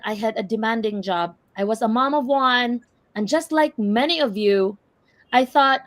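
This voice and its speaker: Energetic woman hosting a live show. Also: fairly high pitch. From Philippines. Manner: energetic and motivational. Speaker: host.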